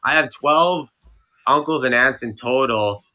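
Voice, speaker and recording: Casual guy from canada, young, rambly with um and uh, super bright tone. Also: mid-range voice. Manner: rambling, halting with many fillers and restarts. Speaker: podcast guest, streamer. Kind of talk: casual interview chat.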